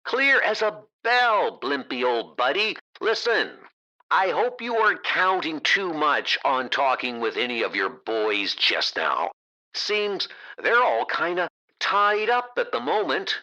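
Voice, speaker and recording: Teen boy sidekick, played by a man: very bright, cheeky, wisecracking, band-limited sound. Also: a little high, sing-song, takes it slowly, highs cut off, voice pushed up, heavily acted. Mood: mocking. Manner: cheeky, mocking. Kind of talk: comic dub acting.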